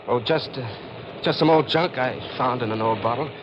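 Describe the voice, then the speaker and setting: An actor playing a wary young fortune hunter, acting and narrating in 1940s radio mystery style. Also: male voice, mid-range voice, normal speed, natural voice. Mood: nervous, defiant. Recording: dull, noisy 1940s radio recording.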